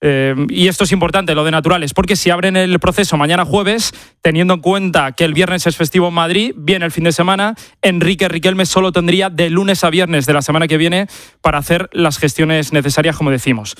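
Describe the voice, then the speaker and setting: A man's voice: fairly high pitch, steady tone, a little fast, a bit bright, no echo, studio quality. A man with an accent from Spain giving a methodical, explanatory live report on sports radio. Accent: Spanish from Spain.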